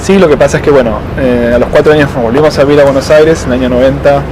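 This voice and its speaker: A man, answering interview questions slowly, with frequent fillers and pauses. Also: medium pitch, steady tone. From Argentina. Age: 30s. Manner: thoughtful, hesitant, many fillers and slow pauses. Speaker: interviewee, doctoral student. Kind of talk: casual interview. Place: outdoors in a city. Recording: outdoor street noise.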